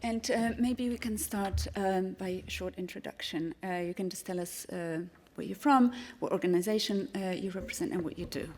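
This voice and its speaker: A woman chairing an informal conference panel as host, speaking in hesitant, halting phrases. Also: mid-range voice, a little mellow, a little hiss or hum.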